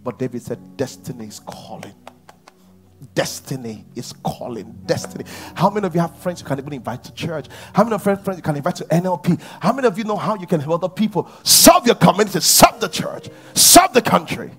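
A man preaching to his congregation in a somewhat high voice. His delivery swings from quiet, low asides to loud, urgent calls. Faint instrumental music plays behind him. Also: sing-song, fast talker. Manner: preaching, rising into shouted worship. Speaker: pastor. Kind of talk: sermon.

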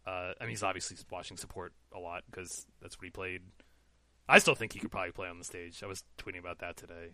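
A man hosting a casual podcast chat, energetic and laughing easily, with lots of fillers. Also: low voice, quick pace. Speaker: podcast host.

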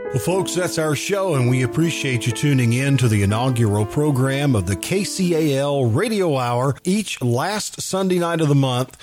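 A man's voice: mid-range voice, expressive, normal speed, few pauses, music in the background. A man hosting a radio show, making a chatty, informal, folksy announcement to listeners.

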